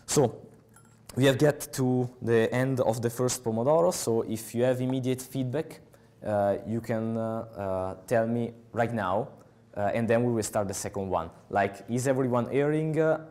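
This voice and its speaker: A young man with an Italian accent giving a technical talk at a conference, pausing often with filler sounds, on a headset mic in a large hall. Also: mid-range voice, a little mellow. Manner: halting, many filler uhs. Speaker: conference speaker.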